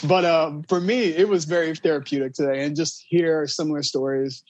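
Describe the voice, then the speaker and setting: A man's voice: a little high, steady tone, moderate pace, dry recording, lo-fi sound. A man co-hosts a casual podcast conversation. His talk is informal and slangy. He is recorded dry, with hardly any room around the voice. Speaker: podcast co-host. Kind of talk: casual chat.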